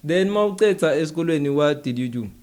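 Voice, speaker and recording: A man's voice: fairly high pitch, lively intonation, fast talker, darkish tone. A man with a South African accent interviewing casually as host, switching between languages.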